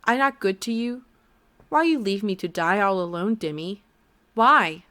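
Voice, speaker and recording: A woman's voice: mid-range voice, lively intonation, a little slow, pushed and bright. A woman voicing an elderly mother who pleads tearfully in broken English. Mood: reproachful.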